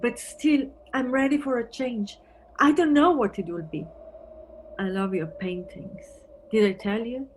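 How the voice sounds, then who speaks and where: A woman's voice: fairly high pitch, unhurried, natural voice. Woman acting wry, wistful detective, audible background noise. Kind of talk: audio play acting. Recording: noisy recording with background ambience.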